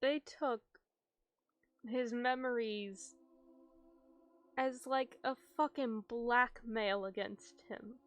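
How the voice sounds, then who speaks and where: Slow, high-voiced woman streamer, halting and emotional. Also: fairly even intonation. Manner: halting, emotional, swears freely. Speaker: livestreamer. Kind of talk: casual game stream reaction. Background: game music.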